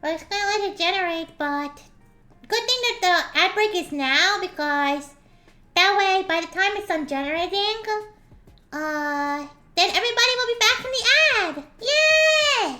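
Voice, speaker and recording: A woman streamer with a pitch-raised squeaky voice filter, casually chatting and reading a story aloud, often clearing a scratchy throat. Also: animated, measured pace, sharp tone, soft background music. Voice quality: squeaky voice from a slime avatar filter. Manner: giggly, reads story text aloud with voiced quotes.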